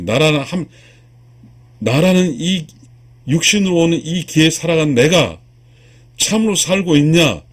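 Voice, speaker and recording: A husky-voiced man in his sixties delivers an earnest spiritual lecture. His pitch swings widely as he stresses and repeats key phrases. He addresses his viewers directly, like a teacher. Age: 60s. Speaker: lecturer, video channel host.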